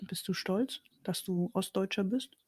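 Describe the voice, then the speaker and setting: A German woman co-hosting a casual talk show in English, frank and lively, sometimes searching for words. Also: mid-range voice, normal speed, breathy edge, dry recording. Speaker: co-host. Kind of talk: casual conversational chat.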